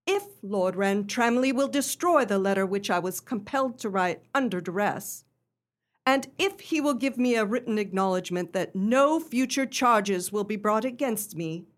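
A woman's voice: medium pitch, expressive, moderate pace, lightly acted. A woman playing a wronged countess in a radio play, speaking with formal, earnest dignity. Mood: proud, resolute.

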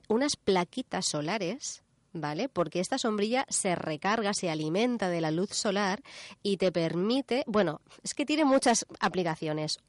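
A woman's voice: mid-range voice, dry recording. A woman with a Spanish accent from Spain, chatting informally and enthusiastically as a radio co-host. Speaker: radio show co-host presenting a news and gadgets section.

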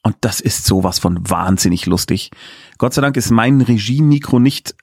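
A man's voice: on the low side, expressive, moderate pace, darkish tone, breathy edge, no echo, clean studio sound. A man speaking as a guest director in a casual interview, explaining things in chatty, enthusiastic detail. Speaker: guest, audio drama director.

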